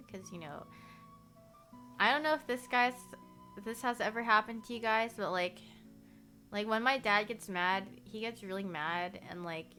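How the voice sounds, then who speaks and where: A woman's voice: mid-range voice, sharp tone. A young woman whose first language is Korean, livestreaming casual chat with viewers. She rambles, fills her talk with "like," and laughs often.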